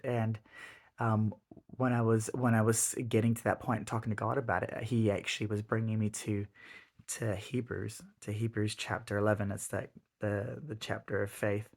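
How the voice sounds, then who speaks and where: A man in his thirties giving his father a heartfelt birthday tribute, earnest and halting with many pauses and restarts. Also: lowish voice, little pitch movement, vocal fry. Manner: earnest, reflective. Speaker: son.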